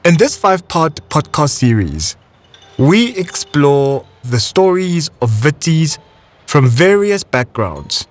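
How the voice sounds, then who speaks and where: A South African man hosting a podcast, narrating a scripted introduction in a measured, expressive way. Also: on the high side. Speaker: podcast host.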